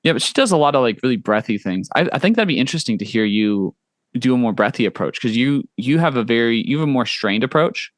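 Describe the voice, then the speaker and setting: A young man hosting a podcast interview, talking in a relaxed, casual way, full of "like" and "you know", quick to agree and encourage. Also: medium pitch, dry recording. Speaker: podcast host.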